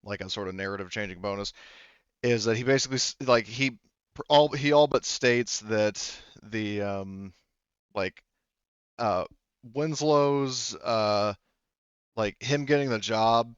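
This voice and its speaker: Male game master, casual and halting, rambling through rulings; smooth lower voice, slightly dull sound. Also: fairly bright tone, dry recording. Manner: hesitant, rambling. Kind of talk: casual tabletop game narration.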